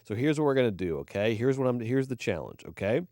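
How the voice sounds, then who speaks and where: A man's voice: medium pitch, very animated, fast talker, darkish tone, dry recording, clean studio sound. A man co-hosting a movie podcast, ranting emphatically and swearing freely in casual chat. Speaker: podcast co-host.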